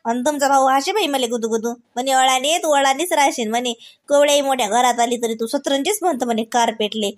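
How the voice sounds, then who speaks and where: A woman's voice: sits high, fast talker, close to an everyday voice. A woman voicing a chatty, unpolished village sister-in-law in a comic cartoon, with a Varhadi Marathi accent and a folksy, rambling, word-searching manner. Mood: mock aggrieved.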